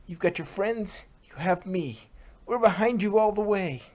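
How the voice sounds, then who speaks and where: A woman's voice: mid-range voice, lively intonation, a little slow, a little mellow, slight background noise, natural voice. A woman voicing a firm, loving old grandmother pony, earnest and reassuring, in an amateur fan fiction reading. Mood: encouraging.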